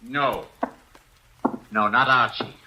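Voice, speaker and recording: An actor playing a terse, threatening intruder in a 1940s radio drama. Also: male voice, mid-range voice, fairly even intonation, slow pace, background noise, lightly acted. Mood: menacing. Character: menacing male intruder with a pistol, terse and threatening.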